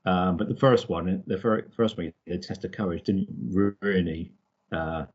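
A man co-hosting a podcast, discussing books casually and haltingly, repeating words as he thinks. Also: low-pitched, husky, highs cut off. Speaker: podcast co-host.

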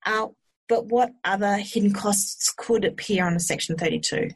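A woman's voice: mid-range voice, moderate pace, husky. An Australian woman hosting a podcast, asking questions in a conversational interview style. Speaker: podcast host.

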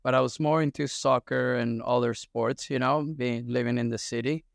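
A middle-aged man from Venezuela, a surf coach talking as a podcast guest in a relaxed storytelling way with many fillers. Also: medium pitch, normal speed, creaky, no room sound, clean studio sound. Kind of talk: casual interview talk.

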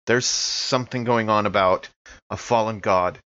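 A man's voice: fairly low pitch, fairly even intonation, moderate pace, sharp tone, highs cut off. A man role-playing casually in a tabletop game, mostly speaking as his character. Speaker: player in a tabletop game podcast.

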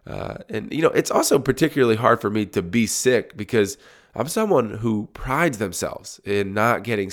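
A young man talks alone into his podcast mic, his head cold making his low voice sound deep and resonant. He speaks in a relaxed, reflective way and often restarts words as he gathers his thoughts. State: congested with a cold. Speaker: podcast host. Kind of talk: solo podcast monologue. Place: home recording room.

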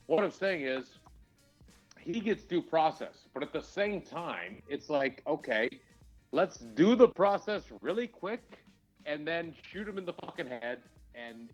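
A man's voice: on the high side, very animated, unhurried, muffled recording, soft background music. A man co-hosting a casual talk show, giving his opinions in a blunt, emphatic way full of swearing. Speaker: podcast co-host. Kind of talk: casual, heated opinion chat.

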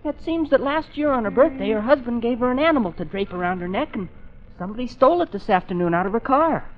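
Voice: mid-range voice, animated, fast, slight background noise, close to an everyday voice. A performer playing an eager, whiny young boy sidekick in a 1940s radio comedy-mystery, with earnest slangy speech. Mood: excited, urgent. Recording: muffled vintage radio recording.

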